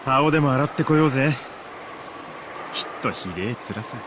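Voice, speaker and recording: Rapid-fire, wry tough guy, acted by a man. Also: medium pitch, expressive, background noise, close to an everyday voice. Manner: slangy, blunt, wry. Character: rough, cocky middle-aged man, wry and tough. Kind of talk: Japanese visual novel voice acting.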